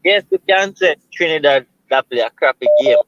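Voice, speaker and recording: A Jamaican man calling in to a football show, making his point in a measured way with halting restarts. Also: fairly high pitch, fairly bright tone, no echo. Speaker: caller. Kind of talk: casual football talk. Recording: dull lo-fi call audio.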